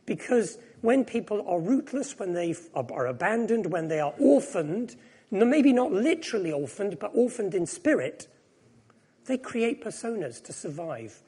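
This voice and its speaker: A middle-aged man speaks from the pulpit in a reflective, self-deprecating way, sharing his own story. His voice sits high and carries a husky edge. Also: sing-song, normal speed, mellow. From Japan. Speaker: preacher. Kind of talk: personal sermon.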